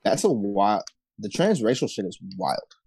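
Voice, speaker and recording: A relaxed man trades short, slangy wisecracks on a comedy podcast. His pitch swings around a lot as he talks. The sound is dry and close to the mic. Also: unhurried, slightly rough, dry recording. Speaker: podcast co-host. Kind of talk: casual comedic banter.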